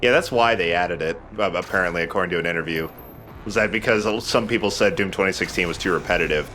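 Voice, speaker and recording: A man's voice: sits low, fast talker, pushed and bright, soft background music. A man, a young adult, giving casual, joking gameplay commentary in a sardonic, grumbling, sweary way. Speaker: let's play host playing the game.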